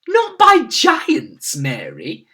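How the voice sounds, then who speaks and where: A woman's voice: on the high side, wildly swooping pitch, drawn-out words, bright, forceful tone, distant mic, pitched above the performer's own voice, heavily acted. A woman voicing an elderly, upper-class queen who is refined and easily alarmed, speaking in a posh, exclaiming manner. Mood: bewildered.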